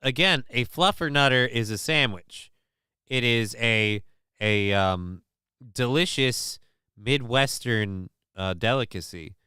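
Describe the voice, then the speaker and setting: A man's voice: medium pitch, slow pace, bright, forceful tone, clean tone, no room sound, clean studio sound. A man from Minnesota hosting a casual live show, rambling and swearing while he answers the chat, with lots of restarts and fillers.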